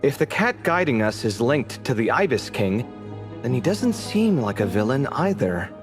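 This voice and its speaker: A man voicing a sarcastic, well-read young male scholar in a game, expressive in his delivery. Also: mid-range voice, normal speed, music in the background, natural voice. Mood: thoughtful.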